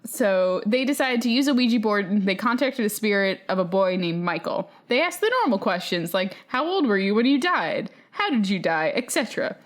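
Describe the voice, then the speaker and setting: A woman's voice: mid-range voice, very animated, normal speed, few pauses. A woman co-hosting a podcast, chatting casually and reading emails aloud in an informal, slangy way. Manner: informal, slangy, peppers talk with "like". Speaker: podcast co-host.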